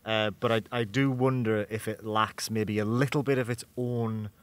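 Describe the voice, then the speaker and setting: A young man with a fairly low voice talks casually as a games journalist. He muses informally and offers his opinions in a conversational way. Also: steady tone, moderate pace. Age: young adult. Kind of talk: casual conversational game commentary. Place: small indoor room at a games convention.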